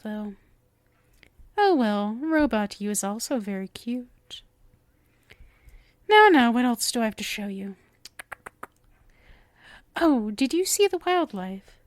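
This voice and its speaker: A woman's voice: on the high side, no room sound. A woman playing a sweet, clingy, obsessive witch in an ASMR roleplay, cooing coyly to the listener and slipping into whispers. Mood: cheerful, darkly playful. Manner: coy, cooing, breaks into whispers. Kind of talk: ASMR roleplay acting, intimate address to listener.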